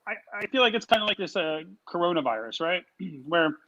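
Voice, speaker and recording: Male guest, fast and bright, stammering answers, muffled lo-fi recording. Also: a little high, sing-song. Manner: hesitant, stammering restarts. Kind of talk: casual interview talk.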